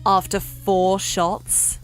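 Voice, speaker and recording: An actress playing a coolly unimpressed, skeptical young woman with a dry, deadpan delivery in a visual novel. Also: mid-range voice, very slow, faint music behind, rough recording.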